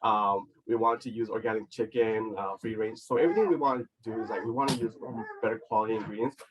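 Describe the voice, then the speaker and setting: A man's voice: lowish voice, quick pace, recorded from a distance, rough recording. A man, a chef and restaurant co-owner, answering interview questions in a loose, casual way full of "like" and "you know". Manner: loose, filler-heavy talk with many "like" and "you know".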